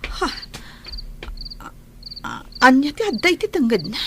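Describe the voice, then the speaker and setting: A woman's voice: high voice, wildly swooping pitch, slow pace, noisy background, exaggerated voice. A woman playing a devoted, anxious young wife in an audio drama. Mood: shocked suspicion. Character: devoted, worried young wife who grows suspicious.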